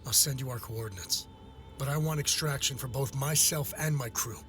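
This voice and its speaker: A proud survey overseer in a sci-fi game cutscene, voiced by a man. He has a mid-pitched voice and a formal, dramatic way of questioning everything, with faint music behind. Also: normal speed, fairly bright tone, natural voice. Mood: indignant. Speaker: video game character. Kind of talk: cinematic game voice acting.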